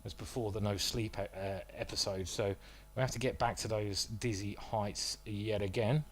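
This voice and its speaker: A man's voice: fairly low pitch, steady tone, moderate pace. A man streaming live, commentating his own chess moves as he plays, thinking aloud and joking about his own bad play.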